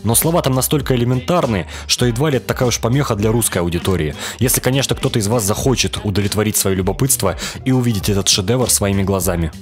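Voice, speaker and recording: A man's voice: on the low side, quick pace, few pauses. A young man from Russia delivering a scripted, wry review as a voice-over. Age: young adult. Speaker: video reviewer. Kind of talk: scripted cartoon review narration. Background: background music.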